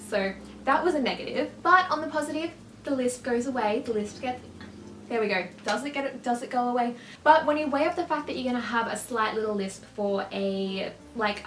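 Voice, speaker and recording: A young woman vlogging in a casual, upbeat way, with a slight lisp and a quick laugh. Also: medium pitch, slight background noise. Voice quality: slight lisp from clear aligners. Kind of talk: casual chat to camera. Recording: roomy echo.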